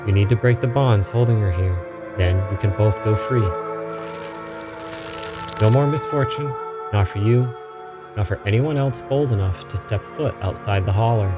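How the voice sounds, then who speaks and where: A man's voice: fairly low pitch, normal speed, lightly acted. Game mystic: man playing a solemn woman guide, earnest and portentous, music underneath. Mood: urgent, solemn. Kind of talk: visual novel character read-aloud.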